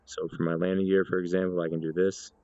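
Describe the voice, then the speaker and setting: A man with a low-pitched voice and an even, narrow delivery gives a casual gaming walkthrough. He explains step by step and corrects himself along the way. The sound is a little muffled. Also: fast talker, no echo. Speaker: gaming video presenter. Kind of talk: casual spoken demonstration.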